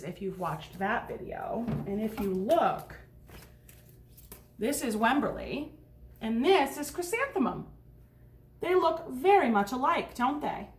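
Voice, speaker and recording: A woman with a fairly high voice reads a picture book as a teacher would to young pupils. She moves between narration and simple explanations, often checking in with her listeners through questions. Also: a bit bright. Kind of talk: read-aloud storytime with asides to children.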